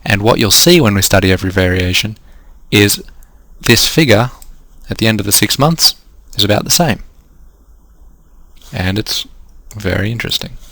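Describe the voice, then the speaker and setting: Low-voiced Australian man teaching trading. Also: lively intonation, moderate pace, fairly bright tone, no room sound. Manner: explanatory, walks through numbers step by step. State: losing his voice. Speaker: trading coach. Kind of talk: screen-recorded lesson.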